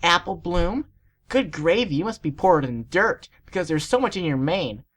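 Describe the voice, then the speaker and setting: A woman plays a spoiled rich filly in a storybook tale. The delivery is haughty and emphatic, swinging widely in pitch. The temper is short and the tone superior. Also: lively intonation, normal speed. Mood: scornful.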